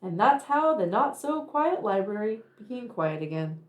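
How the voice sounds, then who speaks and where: A woman reading a picture book aloud to young children, deliberate and expressive in her narration. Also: medium pitch, dull audio. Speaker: children's read-aloud storyteller.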